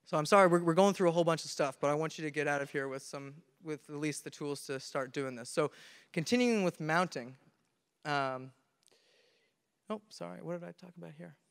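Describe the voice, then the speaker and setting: A man's voice: fairly high pitch, fairly quick, creaky, smooth. Young man presenting in a big, echoey hall. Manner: earnest, explains step by step with many restarts. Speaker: conference presenter. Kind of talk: technical talk on stage. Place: large conference hall with audience. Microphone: handheld mic. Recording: reverberant hall from a camera.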